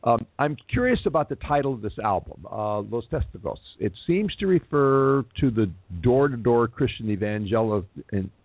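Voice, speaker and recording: A man hosting a casual radio interview, talking in a halting, friendly way with frequent fillers and restarts. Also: mid-range voice, animated, breathy edge, no echo. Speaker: radio show host. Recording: tinny phone-like line.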